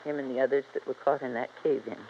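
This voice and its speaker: An actress playing a stubborn, feisty old widow with a rural American accent and a folksy, bossy manner in a vintage radio drama. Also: female voice, rumbling low, fairly even intonation, fast. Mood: confiding. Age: older. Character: feisty, stubborn old frontier widow guarding her mine. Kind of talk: 1950s radio drama acting. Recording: muffled, noisy vintage radio recording.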